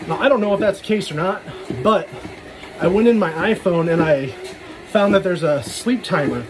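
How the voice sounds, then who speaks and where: A man's voice: high-pitched, animated, moderate pace, low-quality recording. A man casually rambling through a personal vlog, dropping self-deprecating, jokey asides. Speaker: vlogger. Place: talking while out walking.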